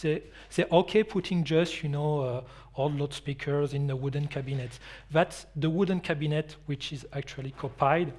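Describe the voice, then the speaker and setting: A man presents at an industry expo and then takes audience questions. His voice sits a little high. He speaks English with non-native phrasing and stops to restart his sentences often. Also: normal speed, a little mellow. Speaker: company anti-counterfeiting presenter. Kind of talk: conference presentation and Q&A.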